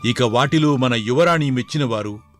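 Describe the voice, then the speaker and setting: A man voicing a caring, authoritative older king in a moral story, with a measured, formal manner. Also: mid-range voice, fairly bright tone, faint music behind, natural voice. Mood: stately, deliberate. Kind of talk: dramatized Telugu moral story.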